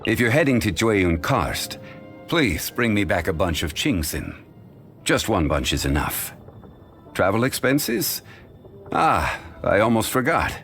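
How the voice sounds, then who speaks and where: Game role: an ageless, dignified scholar-gentleman, very deep and unhurried, formal and polite, faint music behind. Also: sing-song, exaggerated voice. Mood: calm, formal. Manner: measured, formal and courteous. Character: ancient, dignified man, calm and learned consultant. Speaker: voice actor. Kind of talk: video game voice lines.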